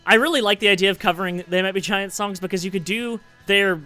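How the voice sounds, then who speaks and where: Nasal, bright-voiced man chatting. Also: high voice, quick pace, faint music behind. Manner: animated, laughs often. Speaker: podcast co-host. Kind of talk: casual music discussion.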